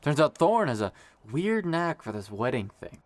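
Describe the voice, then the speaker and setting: A man plays an easygoing young friend in an audio drama, recorded dry and close. His mid-pitched voice moves around with lively intonation, casual and gently teasing. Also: moderate pace, no echo, natural voice. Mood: amused, teasing.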